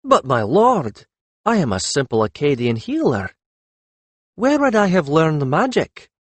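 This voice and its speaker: A man's voice: medium pitch, very animated, unhurried, dry recording. Casting: man as a mischievous foreign healer, thickly accented, feigning wide-eyed innocence and deference in a fantasy audiobook. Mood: feigned innocence. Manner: mock-deferential, ingenuous. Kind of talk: audiobook character voice.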